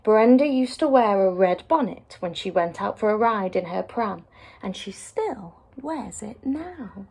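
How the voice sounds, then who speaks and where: A woman's voice: mid-range voice, lively intonation, unhurried, gravelly, a little mellow. A woman teacher narrating a children's story expressively, as if to young listeners.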